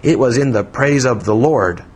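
A man's voice: medium pitch, moderate pace, slightly rough, voice pushed up, natural voice. A man voicing an earnest, awkward religious man who speaks bluntly and formally in a story reading. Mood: curt, solemn. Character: earnest, stiff, awkward religious man, curt and easily offended.

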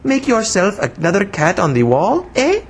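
A man acting out a pert young woman of a storybook tale, her childish voice pitched up high. She has a Spanish accent and teases and pouts in broken English, with lively swoops. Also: moderate pace, husky, full character voice.

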